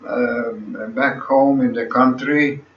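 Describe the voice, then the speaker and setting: Very old Latvian-accented man, halting speech. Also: mid-range voice, fairly even intonation. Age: 100s. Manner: halting, many pauses and "uh" fillers. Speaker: interviewee. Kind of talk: slow recollection of his life story. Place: small room at home, seated in an armchair. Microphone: camera across the room. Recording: echoey room, distant camera mic, dull sound, faint hiss.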